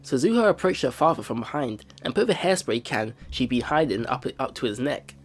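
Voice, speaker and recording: A man hosting a let's play, reading visual novel narration aloud in an expressive storytelling way. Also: medium pitch, a little fast, faint music behind.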